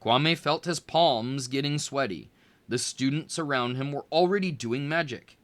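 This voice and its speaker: A man reading a children's chapter book aloud in an expressive storytelling way. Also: medium pitch, unhurried. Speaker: read-aloud narrator.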